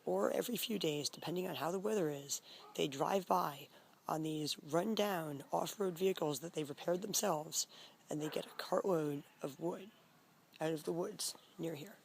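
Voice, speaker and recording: A woman keeps a casual video diary from her floor at home. Her voice is hoarse and weak, often dropping to a murmur with some gravel in it. A faint background hum sits under the handheld recording. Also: low voice, moderate pace. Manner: soft, murmuring, often near a whisper. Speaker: vlogger.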